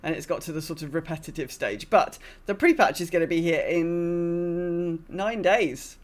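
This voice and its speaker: A woman's voice: lowish voice, normal speed. A woman hosting a gaming podcast, chatting casually in a rambling, self-deprecating way. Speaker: podcast host.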